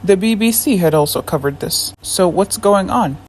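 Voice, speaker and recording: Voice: medium pitch, animated, normal speed, a little hiss or hum, poor audio. A narrator, a native Somali speaker, reads a scripted debunking in an indignant tone. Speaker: video essay narrator.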